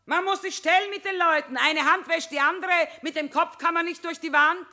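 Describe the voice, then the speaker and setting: A woman's voice: very high voice, a little fast, pushed and bright, faint music behind, full character voice. An actress playing a shrewd, middle-aged female war trader, earthy and sardonic, in theatrical style. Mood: bitter, half-singing. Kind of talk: theatrical stage acting with half-sung song.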